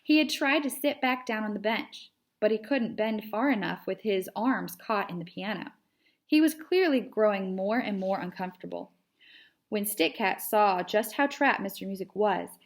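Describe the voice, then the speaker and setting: A woman reading a children's storybook aloud as a narrator, like a teacher to pupils. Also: medium pitch.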